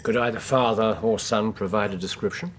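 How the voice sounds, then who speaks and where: An actor playing a keen, courteous Victorian gentleman detective with an English accent, precise, theatrical and wry, in a radio drama. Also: on the low side, fairly even intonation, fairly quick, lightly acted. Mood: courteous, inquisitive.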